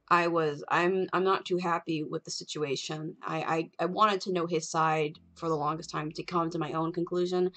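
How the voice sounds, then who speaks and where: Female livestream host, lowish register, candid rambling chat with salty language, light music underneath. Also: vocal fry, fairly bright tone. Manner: candid, rambling, frequently swearing.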